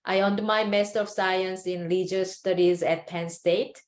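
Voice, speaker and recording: Middle-aged woman from South Korea, careful answers full of pauses, roomy echo. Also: mid-range voice, fairly even intonation. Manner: thoughtful, with frequent "uh" pauses. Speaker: guest professor. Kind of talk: interview answers.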